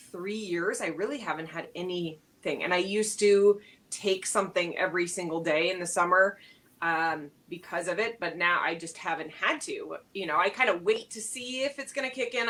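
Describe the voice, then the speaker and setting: A woman's voice: fairly low pitch, a bit bright, recorded from a distance. A middle-aged woman co-hosting a casual wellness livestream, bubbly and laughing often as she talks with many fillers. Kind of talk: casual chat.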